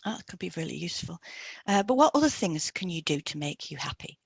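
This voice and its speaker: A woman's voice: lowish voice, sing-song, fairly quick, creaky, breathy edge, no echo, clean studio sound. A woman reading a scripted informational piece as a podcast host, in an instructive, explanatory way.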